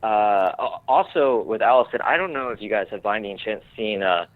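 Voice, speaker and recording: A man chatting casually as an interviewed screenwriter, informal and self-deprecating, often halting as he searches for words. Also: fairly low pitch, animated, dry recording, phone-quality sound. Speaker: screenwriter guest.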